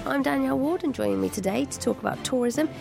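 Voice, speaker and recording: Croaky-voiced woman hosting. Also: high-pitched, fast talker, music in the background. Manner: playful, jokey. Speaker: podcast host. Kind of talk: casual comic chat.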